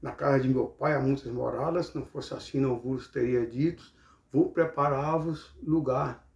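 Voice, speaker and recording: A man's voice: medium pitch, steady tone, normal speed, gravelly, a little mellow, reverberant. A man from Bahia with a Bahian Brazilian accent, teaching the Bible like a preacher, in a didactic way with rhetorical check-ins.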